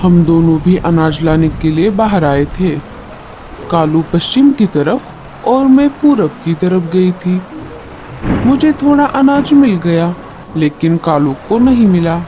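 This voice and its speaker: Cartoon auntie bird, male performer: friendly neighbour, raised pitch, slow pace. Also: lively intonation, noisy background. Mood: chatty. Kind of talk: Hindi children's cartoon dialogue.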